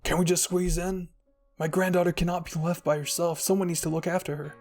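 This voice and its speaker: A man voicing a gentle, devoted old grandfather in a story, tender and earnest. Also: high voice, level tone, fairly quick, soft background music. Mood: pleading.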